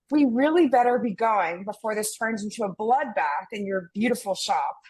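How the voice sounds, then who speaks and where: A woman's voice: mid-range voice, lively intonation, a little slow, darkish tone, breathy edge, rough recording. A woman playing an earnest, outspoken Millennial American twin in a romcom table read. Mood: awkward, polite.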